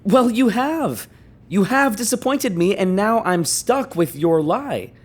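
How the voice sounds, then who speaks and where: A man's voice: sits high, expressive, slow pace, slightly rough, exaggerated voice. A man playing a distressed, indignant lover who feels trapped, incredulous and accusing, in an audio drama. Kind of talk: naturalistic audio drama acting.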